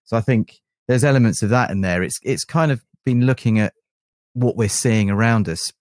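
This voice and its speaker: A man's voice: lowish voice, normal speed, darkish tone, dry recording, studio quality. A middle-aged man, chatting reflectively and agreeably as a musician in an interview. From England. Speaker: band keyboardist, interview guest. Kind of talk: casual interview talk.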